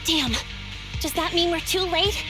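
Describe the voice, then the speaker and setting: A woman voicing a hot-headed young female gang leader in a video game, forceful and exclamatory. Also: very high-pitched, a little slow, over loud music. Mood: worried.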